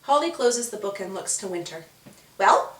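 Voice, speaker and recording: A woman narrating a scripted children's story in a measured, dramatic storytelling manner. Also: mid-range voice, expressive. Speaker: author and narrator. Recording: roomy, distant from the mic.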